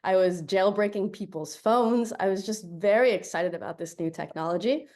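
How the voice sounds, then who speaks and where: A woman's voice: mid-range voice, moderate pace, darkish tone. A young woman from Colombia, a native Spanish speaker, giving a chatty, upbeat guest talk over a video call and laughing often.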